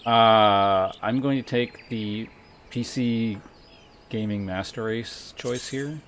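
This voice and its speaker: A man's voice: lowish voice, slow pace, slight background noise. A man livestreaming a game, chatting casually and thinking aloud in a rambling, sometimes profane way. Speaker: game streamer. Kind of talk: casual livestream chat while playing. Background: game music.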